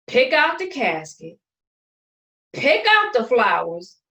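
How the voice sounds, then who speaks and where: An exasperated, bossy eldest sister played by a woman in a family dramedy. She is theatrically sarcastic, with a fairly high, animated voice heard a little away from the microphone. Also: slow, exaggerated voice. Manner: sarcastic, theatrical, condescending endearments. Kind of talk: online dramatic play reading. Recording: distant from the microphone.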